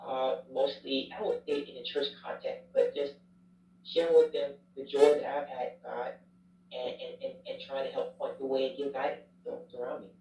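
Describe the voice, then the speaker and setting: A man's voice: mid-range voice, little pitch movement, normal speed, mellow. Candid man telling his story, distant and roomy. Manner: candid, reflective, self-deprecating. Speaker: chaplain, former youth pastor. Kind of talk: casual interview storytelling. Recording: roomy, distant, dull sound.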